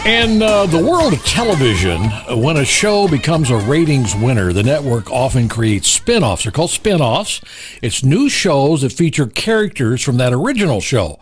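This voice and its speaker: A man's voice: mid-range voice, big pitch swings, normal speed. A man hosting a music radio show in DJ patter, chatty and given to joking asides. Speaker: radio host. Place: home studio. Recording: music bed under the voice.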